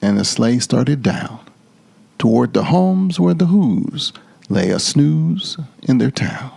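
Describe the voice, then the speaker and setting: A man reading a children's storybook dramatically, with theatrical, drawn-out emphasis. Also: medium pitch, sing-song, creaky, mellow, husky.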